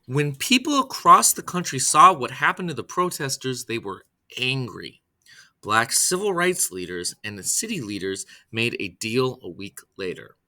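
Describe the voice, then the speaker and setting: Bright, expressive man reading aloud. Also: mid-range voice, normal speed. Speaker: reader, storyteller. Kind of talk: scripted read-aloud of a children's biography.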